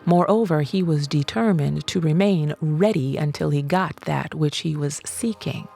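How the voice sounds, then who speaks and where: A woman reading an audiobook as scripted narration, in a measured, deliberate way with emphatic phrasing. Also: deep voice, vocal fry, warm tone, soft background music. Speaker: audiobook reader.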